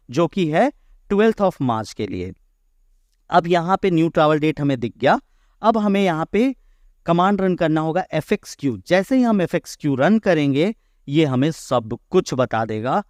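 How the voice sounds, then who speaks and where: A man with an Indian accent giving a step-by-step software lesson in an explanatory way, as an instructor. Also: on the high side, no room sound, clean studio sound. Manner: explanatory, step by step, checking understanding. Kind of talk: software tutorial narration mixing Hindi and English.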